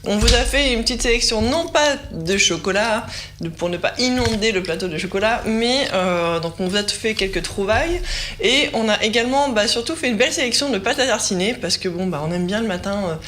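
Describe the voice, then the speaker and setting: A young woman co-hosting a food show, introducing products and agreeing with her partner. Her voice is soft-spoken and mid-pitched, with faint noise behind it. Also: lively intonation, brisk. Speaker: co-host of a food segment. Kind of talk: casual tasting chat on a show set.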